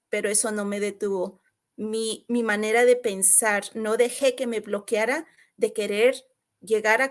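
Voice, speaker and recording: A woman with a fairly high voice hosts an online video call. She talks in an upbeat, encouraging way, often restarting her phrases as she pitches a business opportunity. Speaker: host and business coach.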